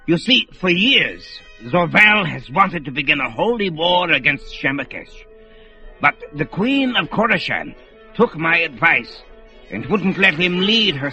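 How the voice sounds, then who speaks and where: A man's voice: a little high, bright, forceful tone, muffled recording, exaggerated voice. A man playing an aged, proud sorcerer in an old radio drama, rambling and quavering, with music playing behind. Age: older. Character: aged male sorcerer, fretful, proud, feuding with his son.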